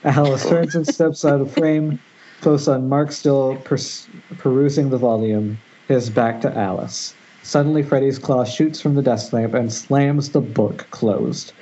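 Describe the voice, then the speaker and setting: Dark-voiced man reading stage directions. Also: mid-range voice, fairly even intonation, measured pace. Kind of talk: script narration at amateur table read. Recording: muffled internet call, faint noise.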